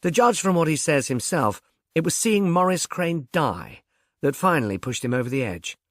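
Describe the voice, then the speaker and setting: A man voicing a calm, matter-of-fact police detective who explains things in measured, wordy audiobook dialogue. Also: a little high, dry recording, studio quality, pitched above the performer's own voice.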